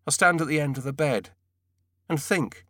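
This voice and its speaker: A man's voice: fairly high pitch, moderate pace, pushed and bright, no room sound. Man narrating a novel, dry studio. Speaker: audiobook narrator. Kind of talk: first-person novel narration.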